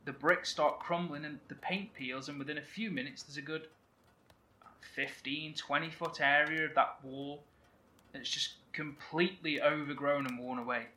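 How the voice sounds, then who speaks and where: An actor playing a man survivor in an audio horror drama, telling a story in a vivid, animated way. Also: fairly high pitch, moderate pace, slight background noise. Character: man survivor describing a strange void. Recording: roomy echo, faint ambience.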